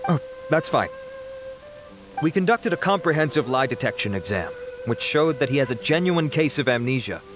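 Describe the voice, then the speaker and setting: A man voicing a formal, businesslike adult male security officer in a video game, speaking briskly. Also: mid-range voice, lively intonation, over music, natural voice. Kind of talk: game cutscene voice acting.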